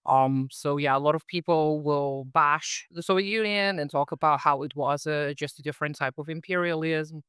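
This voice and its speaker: A man talks as a podcast guest from home, telling childhood stories in a friendly, reflective way. His voice is smooth and clear and sits a touch high. The sound is close and dry. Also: normal speed, hardly any pauses, fairly bright tone, no echo. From Kazakhstan. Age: 30s. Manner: friendly, reflective storyteller with frequent "uh" and "so". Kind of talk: casual interview chat.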